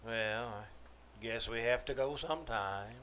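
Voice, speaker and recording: An actor playing a mild, folksy older druggist in 1940s-style radio comedy, hesitant and deadpan. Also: male voice, mid-range voice. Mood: meekly solemn. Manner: halting, deadpan, mild-mannered. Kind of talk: 1940s radio comedy acting before a live audience. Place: small-town theater stage. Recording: noisy live stage recording.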